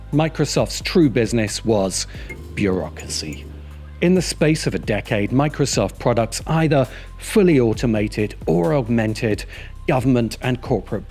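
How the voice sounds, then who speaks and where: A man's voice: mid-range voice, darkish tone. A man reading a scripted video essay narration in a sardonic, opinionated manner. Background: music behind the voice.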